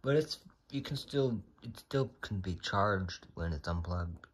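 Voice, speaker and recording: A man co-hosting a casual, unscripted product review, speaking haltingly in short, laconic remarks. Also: low-pitched, animated, breathy edge. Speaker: co-host of a product review channel.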